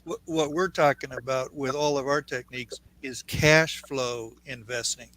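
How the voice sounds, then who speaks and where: A man's voice: medium pitch, fairly even intonation, normal speed, a bit bright, low-quality recording. A man on a live finance panel who explains points emphatically, repeating words as he goes.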